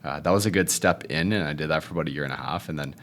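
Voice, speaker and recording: Very deep-voiced young man, laid-back. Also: very fast, slight background noise. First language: Cantonese. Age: 20s. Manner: relaxed, rambling, fond of the tag "if you will, right?". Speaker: podcast guest, realtor. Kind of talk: casual interview chat.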